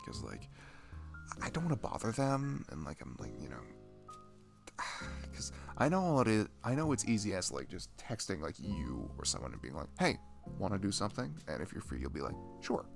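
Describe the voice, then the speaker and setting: A man's voice: sits low, big pitch swings, gravelly, music in the background, exaggerated voice. A man playing a dorky, shy, lonely young best friend in an intimate ASMR roleplay, rambling hesitantly and putting himself down. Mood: shy, downcast. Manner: hesitant, self-deprecating, halting with fillers and sighs.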